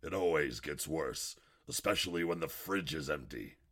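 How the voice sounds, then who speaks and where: An actor playing a gruff, hot-tempered, beer-loving retired team member in an audio drama, blunt and grumbling. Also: male voice, a bass voice, expressive, normal speed, bright, forceful tone, full character voice. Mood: grumbling, wry.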